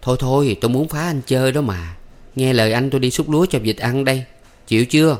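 A man's voice: mid-range voice, fast talker, a little mellow, voice pushed up, close to an everyday voice. A man voicing a pert, scolding young southern Vietnamese woman. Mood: teasing.

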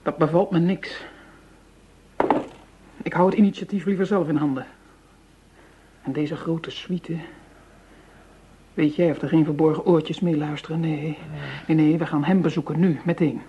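A woman's voice: deep voice, fairly even intonation, moderate pace, long pauses, dark tone, close to an everyday voice. An actress playing a tough, seductive female crime boss in a radio drama, cool and commanding, with knowing hums. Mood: commanding, brisk. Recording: dull radio recording, faint noise.

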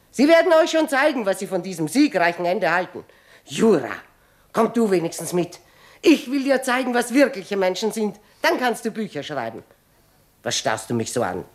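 Actress as a fiery old revolutionary woman, swooping pitch. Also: lightly acted. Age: older. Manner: declamatory, scolding, exclaiming. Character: fiery old woman revolutionary zealot, self-styled warhorse. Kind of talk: German radio drama acting.

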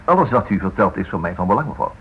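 An actor playing a polite, hesitant writer in a Dutch radio play, probing in a halting way and repeating himself. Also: male voice, low voice, big pitch swings, slight background noise. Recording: muffled, narrow-band old radio recording.

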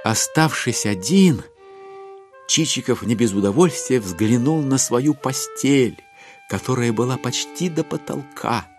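A man narrating a literary audio drama in an expressive scripted style. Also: medium pitch, unhurried, faint music behind.

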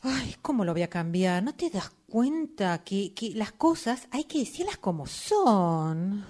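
A woman's voice: medium pitch, very animated, measured pace, warm tone. An actress playing an uneasy, protective woman in a radio play, with a Rioplatense Spanish accent and a hesitant, repetitive way of talking. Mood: uneasy, objecting. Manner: hesitant, repeats short words. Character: uneasy, protective woman who doubts and corrects. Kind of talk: radio theatre acting, workshop fiction.

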